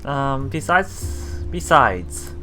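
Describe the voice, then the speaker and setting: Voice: mid-range voice, big pitch swings, extremely slow, bright, forceful tone. A let's play host giving casual, hesitant commentary on a visual novel, reading and translating the dialogue aloud. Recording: music playing behind the voice. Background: game background music with guitar.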